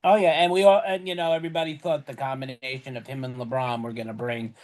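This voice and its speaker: Chatty man talking sports, hoarse edge. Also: mid-range voice, steady tone, fast talker, a little mellow. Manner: rambling, self-correcting, chatty. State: voice going hoarse. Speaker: podcast co-host. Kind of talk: casual sports talk.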